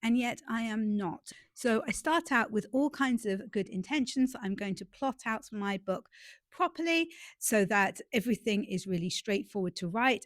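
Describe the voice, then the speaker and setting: A woman's voice: medium pitch, no room sound, clean studio sound. A woman with a stuffy, nasal voice from a cold, chatting casually and self-deprecatingly to camera outdoors in a garden. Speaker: romance author and YouTuber.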